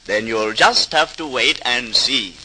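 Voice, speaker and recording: An actor playing a modest, earnest and dedicated potter in an old-time radio drama, on a noisy vintage recording. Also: male voice, mid-range voice, expressive, takes it slowly, bright, forceful tone, heavily acted. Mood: eager, pleased.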